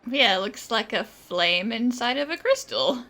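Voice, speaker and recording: Gamer lady chatting back at the game, bright highish voice, unhurried, playful, music behind. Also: lively intonation. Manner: playful, chatty, answers game characters aloud. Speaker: gaming streamer. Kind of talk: casual reactive gameplay commentary.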